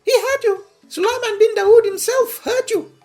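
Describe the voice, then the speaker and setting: A man voicing an excitable little female butterfly in a storybook reading. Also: very high-pitched, moderate pace, faint music behind, pitched above the performer's own voice. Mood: excited. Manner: excitable, breathless.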